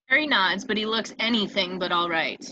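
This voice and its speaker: A woman's voice: mid-range voice, normal speed, lo-fi sound. A woman reading scripted stage directions as narrator of a table read. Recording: clear steady background noise.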